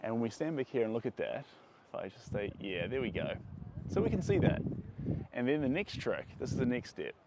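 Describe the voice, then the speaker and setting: A man's voice: medium pitch, big pitch swings, fairly quick. Young guy talking thru painting steps on a windy beach, phone audio, bit strained voice, lots of outdoor noise. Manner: enthusiastic, repetitive, chatty. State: voice strained by wind. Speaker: painter teaching on a live stream. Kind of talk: casual live-stream painting lesson. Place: sandy beach outdoors on a sunny day. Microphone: phone held at arm's length.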